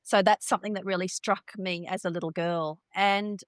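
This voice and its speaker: A woman in her fifties from Australia, whose first language is Hindi, tells her life story in an interview in a reflective, measured way. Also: mid-range voice, steady tone, no room sound, studio quality. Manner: reflective, measured, with occasional repeated words. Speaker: guest.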